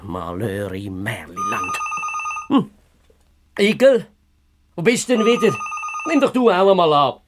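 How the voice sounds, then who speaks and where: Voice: medium pitch, wildly swooping pitch, measured pace, pauses often, background noise. An actor playing a grumpy, put-upon fox detective in a children's radio play, with a world-weary grumble. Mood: impatient, calling.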